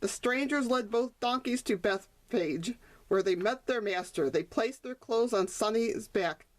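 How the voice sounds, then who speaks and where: A woman reading a children's story aloud as its author, in expressive scripted narration. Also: a little high, a little slow.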